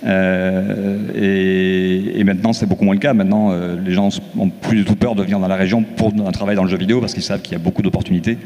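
A man's voice: low-pitched, level tone, quick pace, hardly any pauses, darkish tone. A man speaking as a panelist on a conference stage, explaining fluently. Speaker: studio co-founder, panelist. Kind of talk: panel discussion. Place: conference stage in a large hall. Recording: reverberant hall.

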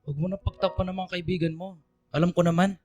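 A man's voice: high voice, fairly even intonation, fast talker, darkish tone, dull audio, close to an everyday voice. A man playing an irritable, controlling older boyfriend in a Filipino radio drama, curt, nagging and defensive. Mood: sulky, accusing. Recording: music bed under the voice.

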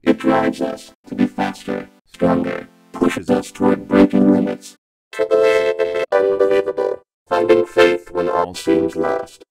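Male dramatic motivational read, vocoder effect, very low, gravelly, heavy synth tones. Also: steady tone, unhurried, music in the background, rough recording. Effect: synth vocoder, robotic.

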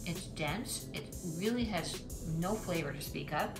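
A woman's voice: fairly low pitch, animated, a little slow. A middle-aged woman chatting casually as she cooks in her home kitchen, thinking aloud while she works. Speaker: cooking vlogger. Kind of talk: casual cooking demonstration. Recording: echoey kitchen with background music. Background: music.

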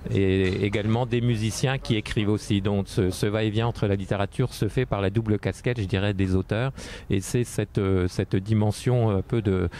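A man's voice: lowish voice, steady tone, moderate pace, dark tone. A middle-aged man organizing a book festival, answering interview questions hesitantly with many fillers, speaking into a handheld mic in a tent. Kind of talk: interview at an event. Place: tent at a book fair, outdoors. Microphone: handheld mic held close.

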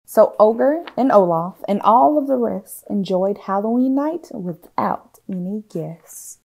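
A woman reading a children's picture book aloud expressively, with theatrical hushes and swells. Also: mid-range voice, expressive, slow pace, vocal fry, rough recording. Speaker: storytime reader.

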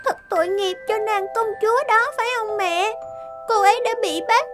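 A performer voicing an earnest, determined young girl in a cartoon dub, childlike and expressive, with music behind. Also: extremely high pitch, moderate pace. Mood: sad, sympathetic. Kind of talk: cartoon dubbing.

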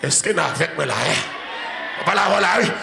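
A man's voice: fairly high pitch, lively intonation, fairly quick, vocal fry, a crowd in the background, noisy background. A man in his forties with a Haitian accent, preaching a sermon in a church with repetitive, chanting emphasis. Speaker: pastor. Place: large church sanctuary with congregation. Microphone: handheld mic. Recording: echoey church hall, organ music.

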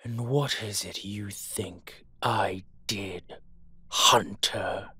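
A haughty old vampire, acted by a man, speaks in slow, formal phrases. The voice is breathy and hushed, full of disdain, and sits dry and close to the listener. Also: fairly low pitch, fairly even intonation, no room sound, heavily acted. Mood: disdainful. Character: proud, disdainful old male vampire in hiding. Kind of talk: audio roleplay acting.

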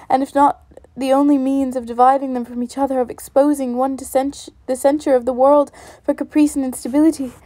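Casting: woman reading as a fiery young lady, higher voice, steady and mellow. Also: no room sound. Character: spirited young woman, sharp-tongued and indignant.

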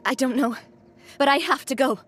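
A woman's voice: high-pitched, normal speed, a bit bright, a little hiss or hum. A woman playing an earnest, resolute young female leader in a video game, speaking in a heartfelt, determined way. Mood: commanding.

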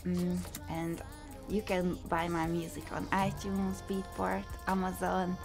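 A woman's voice: on the low side, completely flat delivery, slow pace, creaky. A young woman from Hungary with a Hungarian accent, answering interview questions casually in a recording studio, with frequent fillers and light laughs. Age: young adult. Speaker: music student. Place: recording studio control room. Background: background music.

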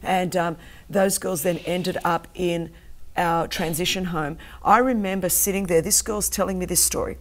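A woman's voice: lowish voice, steady tone, normal speed. A middle-aged woman whose first language is Greek, telling an intense story as a guest on a studio talk show, gesturing emphatically. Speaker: guest, anti-trafficking campaigner. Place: TV studio set with sofas.

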